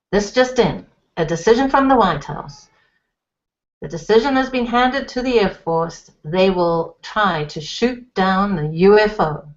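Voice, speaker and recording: A woman's voice: mid-range voice, natural voice. A woman voicing a formal male TV news anchorman with a stiff, announcer-like delivery, on a slightly dull lo-fi recording.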